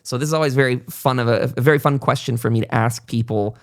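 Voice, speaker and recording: A man's voice: medium pitch, quick pace. A man hosting a casual interview podcast, talking at length in a reflective, conversational way. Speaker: podcast host.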